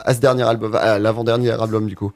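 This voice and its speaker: A man's voice: fairly low pitch, flat delivery, fast. A man hosting a radio interview, chatty and rephrasing his questions, with fillers. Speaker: radio host.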